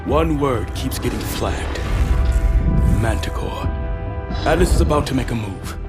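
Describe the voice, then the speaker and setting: Military squad leader, played by a man, video game. Grave, clipped, measured, medium register, clear score underneath. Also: mid-range voice, animated, over loud music, close to an everyday voice. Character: grave, resolute male military special operations team leader. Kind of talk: cinematic video game narration and briefing.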